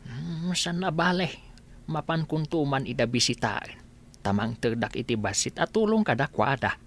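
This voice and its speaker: A woman's voice: a bass voice, animated, moderate pace, full character voice. A woman voicing an exasperated elderly village father in a comedy radio drama, scolding and sighing as she goes. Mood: weary concern. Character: elderly village father, exasperated, soft-hearted toward his family.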